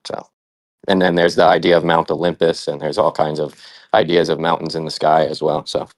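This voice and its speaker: Deep voiced chill guy chatting, dry sound, says bro a lot. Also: level tone, fairly quick, dry recording. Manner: laid-back, calls others bro. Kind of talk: casual religious discussion.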